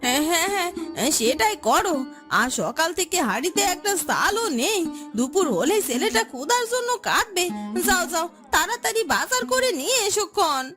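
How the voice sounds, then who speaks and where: A female actor voices a nagging village wife and mother in a moral-story cartoon. Her voice is extremely high and very lively, with an expressive, pressing delivery. Music plays loudly behind her. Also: moderate pace. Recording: loud music bed behind the voice.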